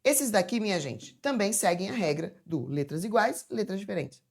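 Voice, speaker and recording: A woman teaching a chatty, informal grammar lesson from home, stretching words out slowly to demonstrate how they sound. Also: mid-range voice, lively intonation, creaky, breathy edge. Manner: chatty, addresses viewers as "gente". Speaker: Portuguese teacher.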